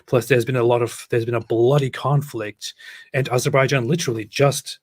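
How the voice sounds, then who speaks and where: A man's voice: mid-range voice, no echo. A man hosting a casual livestream, talking in a rambling, sarcastic way with many fillers and restarts. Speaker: livestream host reading super chats.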